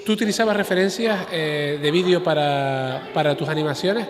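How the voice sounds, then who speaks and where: A man's voice: a little high, normal speed, crowd noise. A man moderating a live panel with questions and remarks. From Tenerife, Canary Islands, Spain. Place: art school event hall, live audience. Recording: echoey hall, long reverb.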